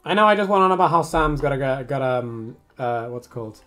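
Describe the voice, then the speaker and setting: Low-voiced, grumbling young woman. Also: lively intonation, fairly quick, a bit bright, faint music behind. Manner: laid-back, grumbling, sweary. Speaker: streamer and artist. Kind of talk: casual stream chat.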